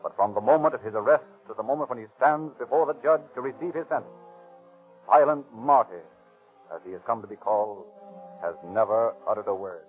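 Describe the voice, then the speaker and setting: A man's voice: mid-range voice, a little fast. A man giving formal, dramatic scripted narration for a 1930s radio drama. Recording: tinny 1933 radio recording with music.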